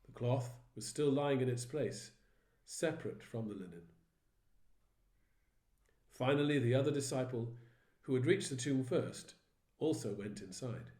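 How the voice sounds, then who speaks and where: Male vicar narrating scripture, mid pitch, steady, roomy echo. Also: a little slow. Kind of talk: dramatised Bible reading narration.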